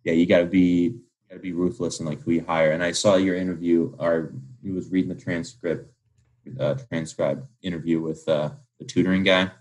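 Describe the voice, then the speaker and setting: A man in his twenties with a deep, husky voice. He speaks as a guest in a casual interview, candid and informal, leaning on slang and filler words. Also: flat delivery, brisk, darkish tone, low-quality recording. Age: 20s. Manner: informal slangy speech peppered with "like". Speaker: podcast guest, young entrepreneur.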